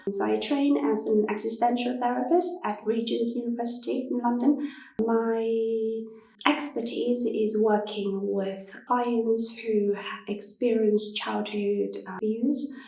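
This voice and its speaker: A woman from Vietnam with a Vietnamese accent, a psychotherapist speaking in a measured, thoughtful way with many pauses. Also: fairly high pitch, steady tone, vocal fry, husky, rough recording. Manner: measured, thoughtful, pauses often, frequent soft "mm" backchannels. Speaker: psychotherapist guest. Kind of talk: interview conversation.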